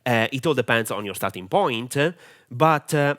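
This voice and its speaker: A man's voice: mid-range voice, expressive, moderate pace, a bit bright. A man from Italy with an Italian accent, giving a camera-confidence lesson as a marketing mentor, direct and earnest with many hesitations. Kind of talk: camera-confidence training talk.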